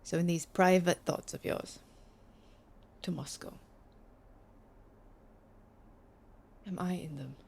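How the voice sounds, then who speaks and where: An actress playing a hurt, anxious woman probing a strained relationship, in naturalistic audio drama style with halting, conversational delivery. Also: fairly low pitch, fairly even intonation, a little mellow, slight background noise, lightly acted. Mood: quiet, resigned.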